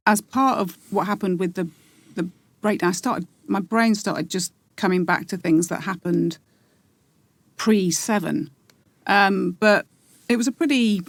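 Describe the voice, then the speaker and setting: A woman in her forties, a podcast guest, talking in a reflective, halting way with many pauses and restarts. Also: mid-range voice, no echo. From England. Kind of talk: casual interview.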